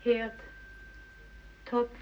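Hushed village woman, muffled sound. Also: drawn-out words. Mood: mournful. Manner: hushed, fateful. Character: resigned village woman leaving her home for good. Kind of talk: radio drama, solemn short phrases. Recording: muffled, noisy vintage radio recording.

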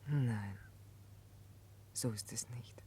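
An actress in a 1960s German radio drama playing an earnest, compassionate confidante, speaking gently and deliberately. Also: female voice, a bass voice, slightly rough, heavily acted. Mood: earnest, consoling. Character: earnest, compassionate woman, a calm moral confidante. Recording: noisy 1960s radio recording.

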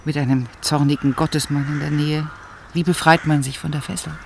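An actor playing a calm, probing interviewer in a radio drama, asking short questions. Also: medium pitch, fairly quick, vocal fry, background noise, close to an everyday voice.

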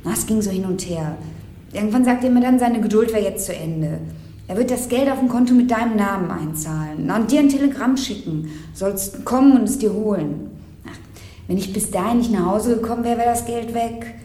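Eine Schauspielerin spielt eine trotzige, misstrauische junge Ausreißerin in einem Krimihörspiel, knapp und abweisend im Ton. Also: female voice, medium pitch, a little fast, warm tone, natural voice. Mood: defiant, bitter. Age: young adult. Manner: curt, defiant. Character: defiant, worn young woman runaway squatter, distrustful. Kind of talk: radio crime drama dialogue. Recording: echoey room with faint background noise.

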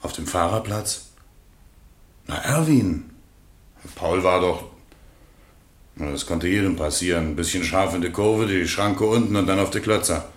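An actor playing an evasive working-class witness in a radio courtroom drama, offhand, terse and defensive. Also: male voice, deep voice, unhurried, long pauses. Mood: matter-of-fact. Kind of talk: radio play acting. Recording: roomy courtroom echo.

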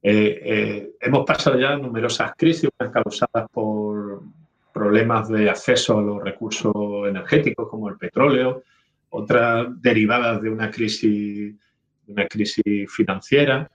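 A man's voice: lowish voice, fairly even intonation, normal speed. A man, an economist guest, explaining hesitantly in an interview with frequent tag questions. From Málaga, Spain. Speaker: guest economist, university professor. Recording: slightly dull remote call sound.

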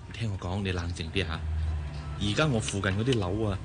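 A male actor playing an affluent, patient fiancé in a Cantonese radio drama, reasoning gently and confidently in a Hong Kong accent. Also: low voice, brisk, background noise, natural voice. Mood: soothing, reasoning. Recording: music behind the voice.

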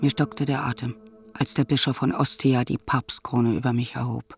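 An actor playing a devout, anxious pope with a secret, praying in a solemn, reverent radio drama monologue. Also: male voice, mid-range voice, level tone, moderate pace, gravelly, soft background music, close to an everyday voice. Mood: awed, fearful. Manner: reverent, earnest.

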